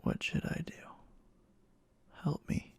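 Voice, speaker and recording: A man playing a clingy, obsessive, lovestruck boyfriend in an intimate ASMR roleplay, speaking in a halting, tender way with a breathy voice that often drops near a whisper. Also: darkish tone, close to an everyday voice. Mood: anxious, apologetic, pleading. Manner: halting, pleading, tender endearments.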